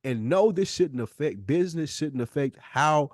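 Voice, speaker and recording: A man's voice: fairly high pitch, animated, moderate pace, darkish tone, no echo, clean studio sound. An American man co-hosting a podcast in a candid heart-to-heart, speaking earnestly and emphatically and repeating himself to make his point. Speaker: podcast co-host.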